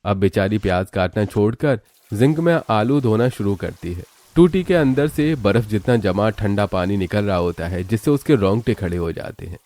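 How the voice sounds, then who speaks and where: A man narrates a cartoon tale in scripted storytelling style. His voice sits low, with a dark, mellow tone. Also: expressive, moderate pace, few pauses.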